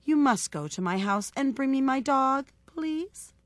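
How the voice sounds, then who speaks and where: A woman's voice: high-pitched, expressive, measured pace, no echo, voice pushed up. A woman voicing a clever, polite storybook baker woman who pleads sweetly and artfully. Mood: earnest, pleading. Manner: imploring, courteous, artful. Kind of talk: storybook character voice.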